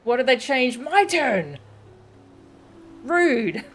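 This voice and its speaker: A woman giving casual, expressive live commentary as she streams a game, reacting with playful indignation. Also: sits high, drawn-out words. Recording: game music behind the voice. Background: game music and character voice lines.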